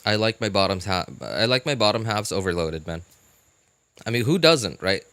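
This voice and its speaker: A man casually chatting as a live-stream host, answering viewers in a halting way and repeating his phrases. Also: lowish voice, clear, resonant tone, dry recording. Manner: halting, repeats phrases, animated incredulous reactions.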